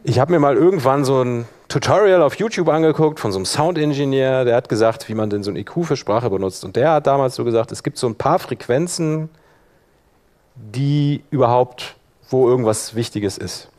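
A man's voice: mid-range voice, fairly quick, a little mellow. A man presenting a workshop in an informal tutorial style, thinking aloud as he demonstrates step by step.

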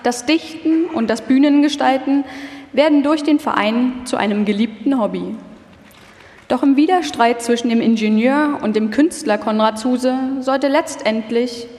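A woman's voice: high voice, moderate pace, a little mellow. A woman reading scripted documentary narration as the narrator of a live radio feature. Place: live stage performance in a hall. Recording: echoey hall, long reverb.

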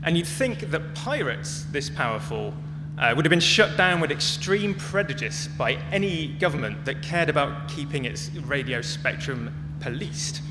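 A man's voice: a little high, lively intonation, normal speed, hardly any pauses. A man speaking as a conference presenter, explaining things in a prepared public talk. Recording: echoey hall with clear hum and noise.

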